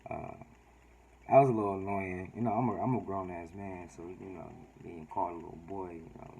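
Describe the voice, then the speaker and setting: Low-voiced man giving a casual update. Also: steady tone, brisk, dark tone, a little hiss or hum, poor audio. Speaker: vlogger. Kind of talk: casual personal update to camera.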